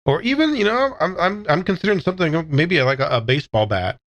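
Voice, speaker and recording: Male tabletop player, animated intonation, casual and deliberating, dry close recording. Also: on the high side, fast, no room sound. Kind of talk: casual improvised tabletop game talk. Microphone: close studio microphone.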